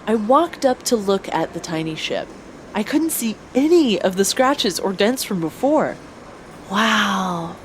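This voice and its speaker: A woman's voice: medium pitch, lively intonation, measured pace, slight background noise. A woman narrating a first-person audio drama expressively, acting out her own lines as she goes. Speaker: author and narrator of an audio story.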